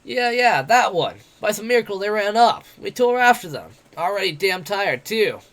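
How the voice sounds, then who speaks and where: A man voicing a brash, mocking, drunk male wolf-man adventurer, loud and jeering. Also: sits high, lively intonation, moderate pace, pitched above the performer's own voice.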